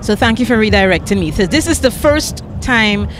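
A woman interviewing a guest at an outdoor festival, effusive and a bit halting, often restarting her phrases into a handheld mic. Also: mid-range voice, animated, background noise. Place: outdoor park festival grounds. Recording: music in background outdoors.

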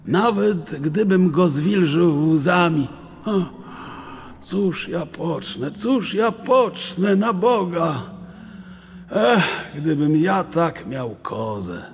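An actor playing a kindly, despairing old sage in an audio drama, with a theatrical, grandiloquent and emotional manner. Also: male voice, fairly high pitch, lively intonation, drawn-out words, slightly rough, exaggerated voice. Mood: distraught. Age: older. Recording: long reverb, faint background noise.

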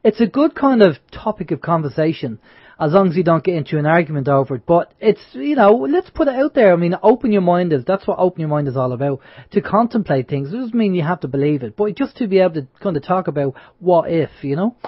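A man with a high voice hosts an online radio roundtable. He questions guests in a loose, chatty way. The sound is dull and dry, like a call. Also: lively intonation, fast talker, no room sound. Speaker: radio host. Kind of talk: casual roundtable chat.

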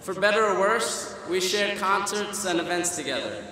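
A man's voice: sits high, lively intonation, normal speed, background noise. Young man giving a wry speech in a big echoing hall. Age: young adult. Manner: wry, self-deprecating, timed for laughs. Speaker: student commencement speaker. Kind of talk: humorous graduation speech. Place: large auditorium stage at a podium. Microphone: podium microphone. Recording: echoey hall through a PA system. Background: audience laughter and hall ambience.

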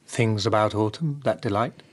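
An actor in a radio drama playing a young, romantic, carefree courtier poet, with a husky voice. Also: male voice, on the low side, moderate pace, lightly acted. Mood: courting. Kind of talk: BBC radio drama acting.

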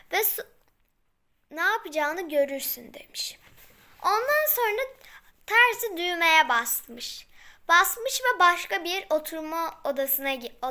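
A young girl improvising a fairy tale, haltingly, repeating herself and correcting her words as she goes. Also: extremely high pitch, sharp tone. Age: child. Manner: halting, repetitive phrasing with self-corrections.

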